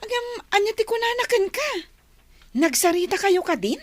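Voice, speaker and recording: A woman playing an inquisitive, easily astonished female confidante in an audio drama, excitable and questioning. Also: very high voice, moderate pace, dry recording. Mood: surprised, probing. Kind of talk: Ilocano audio drama acting. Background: faint music.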